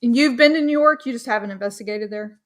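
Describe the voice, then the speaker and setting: A woman from Dallas talks through an easygoing interview with a bright, sharp-edged voice sitting a touch high. Her delivery is bubbly and chatty, full of ums and quick asides. She comes across as a friendly paranormal investigator trading stories. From Dallas, Texas. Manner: chatty, bubbly, filler-heavy. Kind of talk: casual interview chat.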